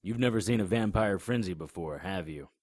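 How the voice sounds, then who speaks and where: A man's voice: low-pitched, a little fast, darkish tone, no room sound, clean studio sound. A man narrating a first-person noir horror audio drama in a grim, matter-of-fact manner and voicing its lead character.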